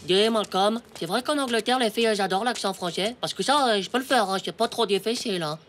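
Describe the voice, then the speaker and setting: A woman's voice: medium pitch, quick pace, a bit bright, natural voice. A woman voicing a brash, boastful, scheming ten-year-old boy in a French cartoon, with a cheeky, slangy, mock-confident way of talking. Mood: chummy. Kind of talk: French animated cartoon dialogue. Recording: music behind the voice. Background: cartoon music.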